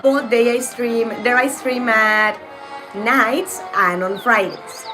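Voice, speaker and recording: A woman from Mexico with a slightly high voice, chatting casually as she plays and greets viewers by name. Loud game music runs behind her. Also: steady tone, takes it slowly. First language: Spanish. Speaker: streamer. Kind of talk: casual live game-stream chat.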